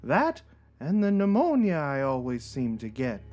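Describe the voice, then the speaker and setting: A man's voice: mid-range voice, wildly swooping pitch, measured pace, a little mellow, faint music behind. A man voicing a gloomy, mopey cartoon diary writer who reads out wordy, melancholy journal entries with theatrical flair. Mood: mournful, wistful. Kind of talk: dramatic reading of a blog.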